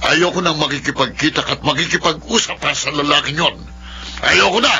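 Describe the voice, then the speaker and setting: An actor playing a stern middle-aged uncle in a radio drama, forceful and scolding. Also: male voice, a little high, brisk, gravelly. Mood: indignant scolding. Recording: muffled, noisy lo-fi drama audio.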